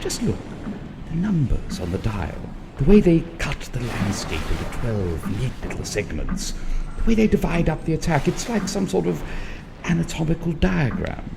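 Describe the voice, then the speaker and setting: An actor playing a pedantic, detached elderly doctor in an audio drama, speaking in wordy, precise musings. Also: male voice, on the high side, huge pitch swings, normal speed, vocal fry, over music, heavily acted. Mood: morbidly fascinated. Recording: noisy, long reverberant hall sound.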